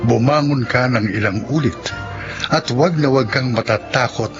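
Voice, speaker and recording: A male announcer who reads the credits and introductions in a formal, measured way. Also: medium pitch, highs cut off, loud music behind the voice. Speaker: program announcer. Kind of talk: scripted radio announcing.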